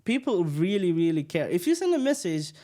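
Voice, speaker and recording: A young man with a high voice talks as a guest in a casual business interview. He is confident and stresses his points by repeating key words. His delivery stays conversational rather than scripted. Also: very animated, brisk, dark tone. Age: young adult. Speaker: entrepreneur guest.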